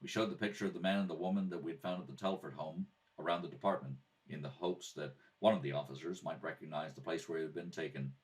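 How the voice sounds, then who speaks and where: A man acts as a businesslike detective from a radio crime drama. The voice is low and clipped, matter-of-fact, recorded slightly away from the microphone. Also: fast talker, hardly any pauses. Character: terse, businesslike male police detective narrating his case. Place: at a kitchen table. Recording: slightly distant home microphone.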